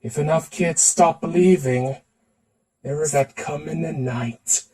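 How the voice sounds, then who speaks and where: A man's voice: fairly high pitch, a little slow, dark tone, recorded from a distance. A man performing theatrical character voices in a voice acting reel, switching from one to another. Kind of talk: voice acting demo reel of game and cartoon character impressions.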